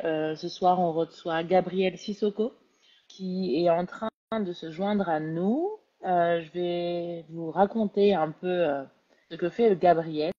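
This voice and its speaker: A woman hosts a live-stream interview. A cold has left her stuffed up and nasal. Her speech is slow, unhurried and full of hesitations, in a slightly low voice, over dull lo-fi stream audio. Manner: relaxed, chatty, hesitant with many fillers. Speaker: interview host.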